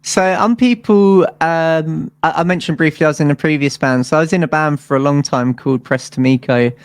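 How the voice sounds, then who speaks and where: A man's voice: on the high side, brisk, smooth, no echo. British guy, musician being interviewed, rambly informal chat, dry recording. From United Kingdom.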